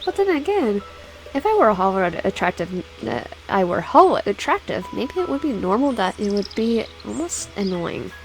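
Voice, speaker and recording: A woman reads game text aloud in a casual, halting way. Her voice sits at an ordinary pitch, and she trips over words and repeats phrases. Music plays faintly behind her. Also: very animated, poor audio. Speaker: let's player reading a visual novel aloud. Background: background music.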